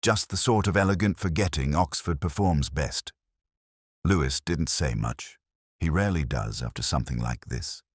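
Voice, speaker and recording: A man playing a weary, reflective older detective, narrating a crime drama in measured, solemn, literary phrases. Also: a bass voice, lively intonation, breathy edge, dry recording, studio quality, heavily acted. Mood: wistful, restrained. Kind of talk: scripted first-person detective story narration.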